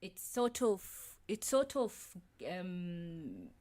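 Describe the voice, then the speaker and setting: Woman, from Ghana, slightly low voice, hesitant, many fillers, podcast guest. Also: huge pitch swings, extremely slow, darkish tone. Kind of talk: casual group discussion.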